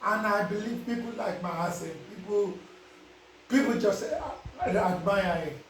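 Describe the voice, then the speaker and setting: A man's voice: high-pitched, animated, measured pace, distant mic, slight background noise. A man with a Nigerian accent, giving casual life advice to his viewers and often asking if they understand. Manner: preachy, repeats "you understand?". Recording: very echoey, voice away from the mic.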